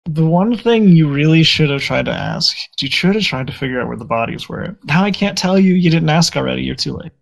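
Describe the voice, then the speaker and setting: A young man voicing a sinister, taunting tabletop game character with a gravelly voice. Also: on the high side, expressive, a little fast, hardly any pauses. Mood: menacing taunt.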